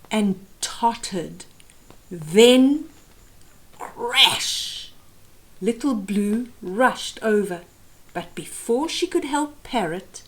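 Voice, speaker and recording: A woman in her fifties reading a children's picture book aloud expressively, with deliberate phrasing for young listeners. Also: a little high, poor audio. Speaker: storyteller host. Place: small room at home, indoors.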